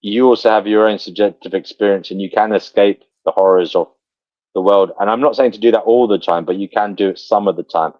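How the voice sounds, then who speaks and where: A man's voice: fairly low pitch, steady tone, fairly quick, dry recording, lo-fi sound. A man hosting his own channel in casual livestream chat, blunt and prone to swearing, calling his guest brother. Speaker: channel host.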